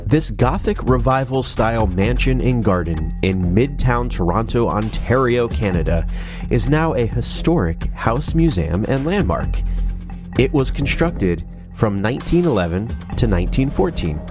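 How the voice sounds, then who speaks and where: A man's voice: mid-range voice, lively intonation, a little slow, music in the background. A man with a stuffy, nasal voice from a sinus cold, casually reading ghost stories into a microphone with sarcastic asides. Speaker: paranormal channel host. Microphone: studio microphone.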